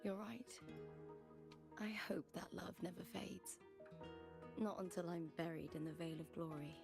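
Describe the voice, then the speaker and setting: A woman's voice: lowish voice, measured pace, vocal fry. Earnest young gladiator woman, music behind. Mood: wistful, fearful. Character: earnest young female gladiator, warm, proud, privately fearful. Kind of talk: video game story dialogue.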